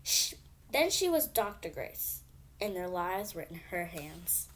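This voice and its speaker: A woman's voice: lowish voice, big pitch swings, slow pace, fairly bright tone, slight background noise. A female reader telling a children's bedtime story expressively, with emphatic phrasing.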